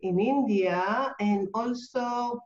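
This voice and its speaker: Israeli woman, hebrew speaker, halting slow english, roomy muffled call sound. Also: on the high side. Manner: hesitant, searching for words, many fillers. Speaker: participant in an online talk. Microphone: video call. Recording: echoey, dull video-call audio.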